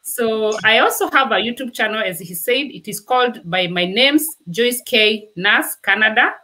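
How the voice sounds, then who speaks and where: A woman with a fairly high, bright, forward voice. She answers interview questions earnestly, reaching for vivid everyday comparisons. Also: expressive, moderate pace. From Kenya. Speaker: nurse and guest.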